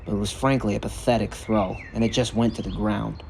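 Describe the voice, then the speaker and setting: A man reading a scripted story narration in a measured, earnest way. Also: fairly low pitch, fairly even intonation, creaky, darkish tone, a little hiss or hum. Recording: music bed under the narration. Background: background music.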